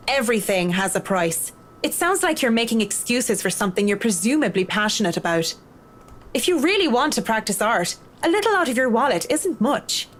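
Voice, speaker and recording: A woman's voice: on the high side, big pitch swings, fairly quick. An actress voicing a blunt, sardonic, worldly career woman in a visual novel game. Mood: stern, advising.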